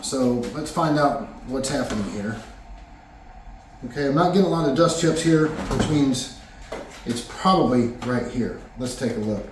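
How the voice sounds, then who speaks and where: Casual hobbyist workshop vlogger, halting and chatty, in a very roomy shop with a faint hum behind him. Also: male voice, medium pitch, recorded from a distance. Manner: rambling, halting with fillers and restarts. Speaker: woodworking vlogger. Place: home workshop. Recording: echoey workshop, voice off-mic.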